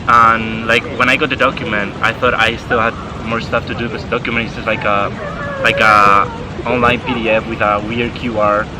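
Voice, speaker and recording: A young man talks casually, leaning on "like" as he explains. His voice sits low. Traffic and boats are clearly heard behind him outdoors. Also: flat delivery, a little fast. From Spain. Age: young adult. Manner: chatty, many "like" fillers. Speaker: exchange student guest. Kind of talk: casual chat. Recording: outdoor traffic and boat noise.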